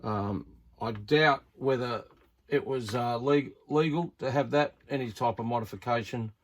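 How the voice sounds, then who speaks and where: A man's voice: mid-range voice, a little slow, a little hiss or hum. Easygoing Australian man, around sixty. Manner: rambling, lots of uhs and ums, easygoing. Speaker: radio hobbyist showing a CB set. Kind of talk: casual show-and-tell to camera.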